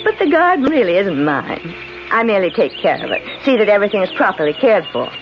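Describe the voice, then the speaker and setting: An actress playing a serene, mysterious keeper of a magic garden, speaking calmly and unhurriedly in a 1970s radio drama. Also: female voice, medium pitch, close to an everyday voice. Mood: inviting. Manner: calm, soothing, unhurried. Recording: muffled 1970s radio broadcast with music.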